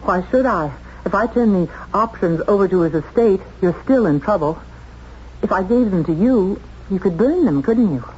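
An actress playing a shrewd, determined woman in a 1970s radio drama, with intense, deliberate delivery. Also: medium pitch, lively intonation, a little mellow, lightly acted. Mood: cool, bargaining. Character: determined, shrewd woman accused of murder, confronting the killer. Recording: muffled, noisy old radio drama tape.

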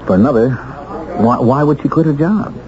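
An actor playing a determined, polite man out to clear his name in a 1970s radio drama, earnest and probing. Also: mid-range voice, moderate pace, natural voice. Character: determined, polite wronged ex-convict investigating his framing. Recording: dull 1970s radio recording, crowd behind.

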